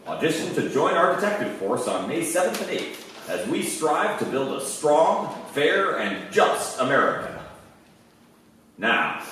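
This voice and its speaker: Guy doing old timey radio announcer spiel, lively pitch, far from mic w/ big room echo. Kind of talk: scripted 1940s radio announcing to a live audience. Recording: echoey stage from afar, faint noise.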